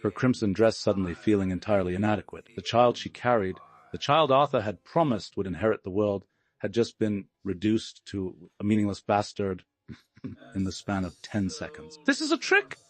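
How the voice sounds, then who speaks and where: A man's voice: fairly low pitch, normal speed. A man reading a scripted story as a dramatic audiobook narrator.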